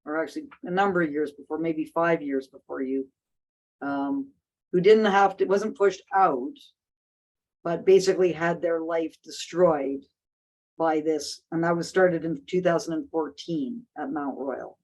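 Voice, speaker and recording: A woman's voice: low-pitched, measured pace, a little mellow, poor audio. A woman hosting a casual discussion, speaking thoughtfully with frequent restarts and fillers. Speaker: host.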